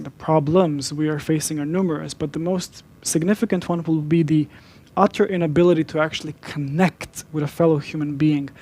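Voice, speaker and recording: Man from serbia giving a talk, bit high voice, gravelly, lots of restarts. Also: a little mellow. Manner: measured, with frequent restarts and fillers. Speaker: public speaker. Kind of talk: lecture presentation.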